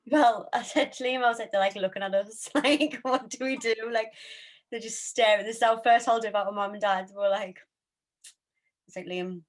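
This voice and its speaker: A woman's voice: fairly high pitch, fairly quick. Chatty young woman, casual co-host.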